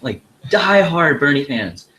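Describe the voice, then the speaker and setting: A man's voice: fairly high pitch, very animated, a little slow, bright, forceful tone, a little hiss or hum, rough recording. A young man talking as a podcast guest in a casual, laid-back way, saying "like" a lot. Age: young adult. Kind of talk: casual chat.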